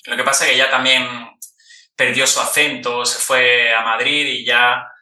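Man, podcast host, very bright sharp voice, echoey room, mic set back from him. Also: medium pitch, normal speed, recorded from a distance. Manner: relaxed, thoughtful, frequent hesitations. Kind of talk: casual conversational interview.

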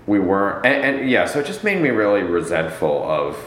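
A young man with a very deep voice rambles wryly in casual conversation. His pitch swings widely as he talks, and he often restarts his sentences. The sound carries echo and a faint background ambience. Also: big pitch swings, fairly quick, a bit bright, a little hiss or hum. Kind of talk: casual chat.

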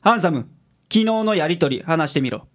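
A man playing a brisk, self-assured private detective in a radio drama, speaking in a businesslike, declarative way. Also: fairly high pitch, lively intonation, fairly bright tone.

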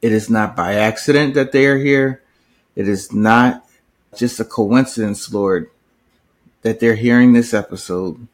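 A man's voice: lowish voice, measured pace. Earnest man leading a prayer. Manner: earnest, steady prayer cadence. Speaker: podcast host. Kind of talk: spoken prayer and show intro.

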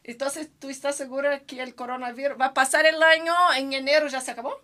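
A woman's voice: sits high, flat delivery, quick pace, bright, forceful tone. A woman from Brazil with a Brazilian Portuguese accent, hosting a playful, joking casual chat. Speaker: host.

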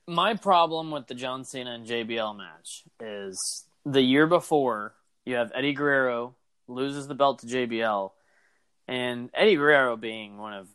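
A man co-hosting a casual podcast chat, talking in an animated, rambling way with lots of fillers. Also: medium pitch, moderate pace, clear, resonant tone, dry recording. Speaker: podcast co-host. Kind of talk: casual chat about wrestling.

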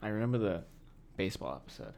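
A man's voice: lowish voice, expressive, fast talker, gravelly, a little mellow. A young adult American man co-hosting a podcast in casual, slangy, loosely rambling conversation. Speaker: podcast co-host. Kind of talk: casual chat.

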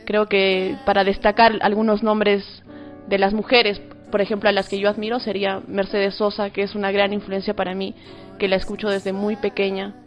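A woman's voice: mid-range voice, level tone, moderate pace, muffled recording, faint music behind. A woman with a Peruvian accent speaking as an interview guest, a singer-songwriter talking casually. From Peru.

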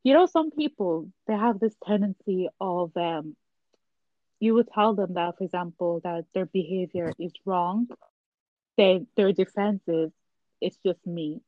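A woman's voice: lowish voice, unhurried, dry recording. Candid woman in casual chat, dull sound.

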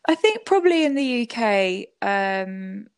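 Woman, young adult, chatty, reflective, mid pitch, dry sound. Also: very animated, a little slow, smooth, no room sound. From Southwest London, England. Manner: chatty and reflective, many fillers, laughs often. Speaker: guest musician. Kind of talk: casual interview conversation.

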